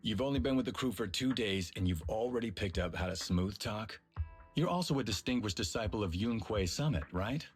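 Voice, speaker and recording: A man's voice: on the low side, animated, brisk, breathy edge, soft background music. A man playing a proud, grumbling older tiger-man in a video game dub, with a dry, skeptical manner.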